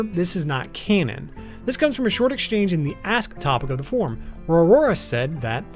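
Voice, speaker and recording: A man narrating an explainer video about a visual novel. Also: on the high side.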